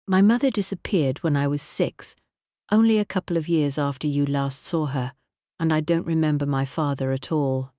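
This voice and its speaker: A narrator voicing a young woman hotel owner, earnest and shaken, asking anxious, halting questions. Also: low voice, dark tone, no echo, studio quality, lightly acted.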